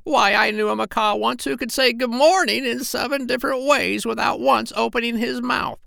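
A man voicing a very old, opinionated female parrot in a children's storybook, haughty and chatty in a squawky falsetto. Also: moderate pace, creaky, bright, forceful tone, no echo, studio quality, full character voice. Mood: scornful, indignant.

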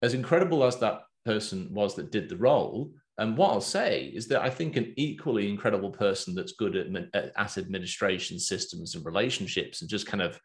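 A man's voice: on the low side, brisk, few pauses. Chatty English man, business host. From England. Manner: animated, talkative, loose with fillers. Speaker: podcast host and business coach. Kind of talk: casual business chat.

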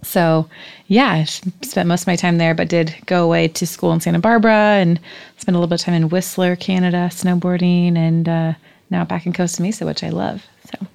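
Female guest, Californian accent, creaky and slightly low, relaxed conversational delivery. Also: brisk. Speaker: podcast guest. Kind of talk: casual chat.

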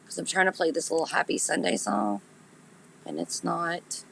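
Raspy, congested woman chatting. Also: on the low side, fairly even intonation, moderate pace, fairly bright tone, a little hiss or hum, low-quality recording. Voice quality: raspy, gritty. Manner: chatty, laughs at herself, talks straight to listeners. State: congested, nasal. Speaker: podcast host. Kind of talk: casual live chat with listeners.

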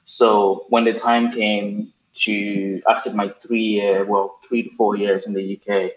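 A man's voice: lowish voice, level tone, measured pace. A man in his thirties from Cameroon, a podcast guest telling his story casually, with many ums and restarts. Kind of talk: casual interview answers. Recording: roomy, dull remote recording with faint noise.

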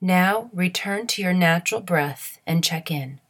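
A woman leading a guided meditation in a soothing, measured, instructional way. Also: fairly low pitch, fairly bright tone.

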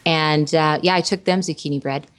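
A woman's voice: deep voice, fairly even intonation, normal speed. A woman voice actress chatting playfully in a casual interview, laughing often. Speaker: voice actress, guest.

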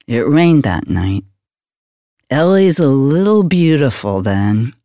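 Man playing slow, haunted retired homicide detective. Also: mid-range voice, mellow, clean tone, no room sound, clean studio sound, natural voice. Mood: brooding, wistful. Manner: brooding, deliberate noir storytelling. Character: haunted, world-weary retired male Los Angeles homicide detective. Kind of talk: radio theater monologue.

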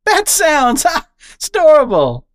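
A woman voicing a bubbly, brash young female squirrel who blurts things out, with a squeaky excited voice. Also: measured pace, no room sound, clean studio sound, exaggerated voice. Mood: excitable. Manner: loud, excitable, high-pitched blurting.

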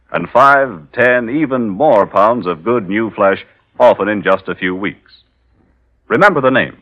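A man announcing for an old-time radio show in a formal, measured, emphatic style. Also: medium pitch. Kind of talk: 1940s radio announcing and sponsor reading. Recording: muffled old radio broadcast. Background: music.

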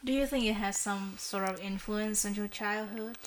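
A young woman of nineteen from Vietnam hosts a student podcast at a middle pitch. Her delivery is steady and earnest, with a slightly halting, scripted feel. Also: slight background noise, poor audio. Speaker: podcast host.

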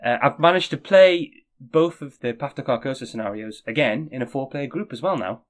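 A man co-hosting a casual podcast chat, friendly and a little halting, often restarting his sentences. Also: mid-range voice, lively intonation. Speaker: podcast co-host.

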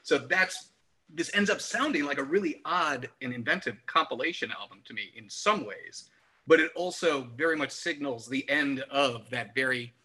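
A middle-aged man with a very bright, forceful voice at a medium pitch. He discusses albums as a podcast co-host in a casual, enthusiastic, analytical way. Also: normal speed, husky. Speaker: music review podcast co-host.

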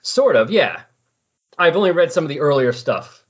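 A man's voice: on the high side, big pitch swings, normal speed, breathy edge. A man chatting casually and conversationally as a podcast co-commentator, rambling a little as he explains things. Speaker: podcast co-commentator on comic books. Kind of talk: casual chat, recounting comic book plots. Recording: slightly dull, lo-fi audio.

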